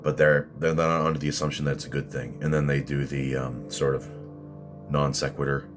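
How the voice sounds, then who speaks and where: A man with a deep voice, ranting at his critics in rambling, combative commentary full of restarts and fillers. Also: level tone, fairly quick, over music. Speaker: vlogger answering critics.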